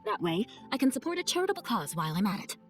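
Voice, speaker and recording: A woman's voice: mid-range voice, very animated, racing, loud music behind the voice, close to an everyday voice. A woman voicing a proud, aloof young knight captain in a video game, speaking formally and matter-of-factly. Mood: businesslike. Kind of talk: game cutscene voice acting.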